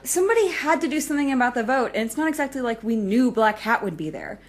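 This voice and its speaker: Woman acting high-voiced, agitated, stubborn villain. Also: animated, fast. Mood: defensive. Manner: defensive, argumentative. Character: fiercely protective, agitated young villain, stubborn partner. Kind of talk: audio drama acting.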